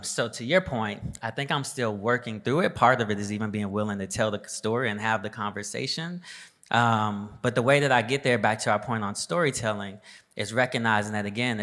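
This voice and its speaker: A man's voice: fairly low pitch, a bit bright. A man in his thirties speaking as a panelist, reflective and emphatic in a conversational way. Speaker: panelist, business leader. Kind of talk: live panel discussion.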